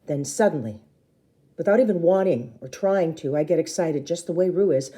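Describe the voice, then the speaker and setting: A woman reading a children's book aloud in an expressive, theatrical way, acting out the dialogue. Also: low voice, moderate pace. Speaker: storybook reader.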